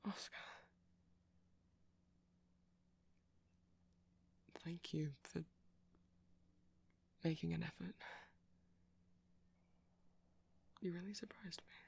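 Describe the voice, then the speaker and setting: A man voicing a shy, nerdy, kindly vampire shopkeeper in an ASMR roleplay, hesitant and awkwardly polite, husky and breathy. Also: a little high, steady tone, moderate pace. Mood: soft, fond.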